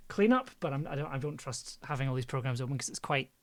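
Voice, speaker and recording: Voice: medium pitch, animated, fast talker, a little hiss or hum. Casual streamer muttering while editing audio. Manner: thinking aloud, muttering to self. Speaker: streamer, audio drama editor. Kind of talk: casual livestream chat while working.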